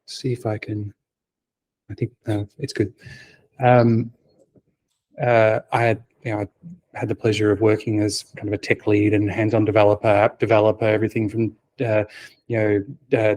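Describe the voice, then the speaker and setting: A soft-spoken middle-aged man presents at a conference in a low, mellow voice. He speaks quietly, stumbles through fillers and restarts, and slips in dry, self-deprecating asides. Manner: hesitant, many fillers and restarts, wry asides. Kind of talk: technical conference talk.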